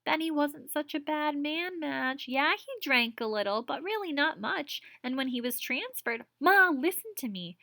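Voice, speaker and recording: A woman's voice: high voice, animated, moderate pace, gravelly, hardly any pauses, bright, forceful tone, no room sound, pitched above the performer's own voice, heavily acted. A woman voicing a weary middle-aged female teacher who is distraught and pleading. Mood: distressed. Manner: pleading, emotional.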